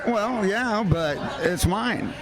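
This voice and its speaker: Male guest, high voice, bawdy blunt banter, outdoors with heavy crowd noise. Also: animated, takes it slowly, poor audio. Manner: crude, blunt. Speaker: guest musician. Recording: loud crowd chatter, outdoor urban spot.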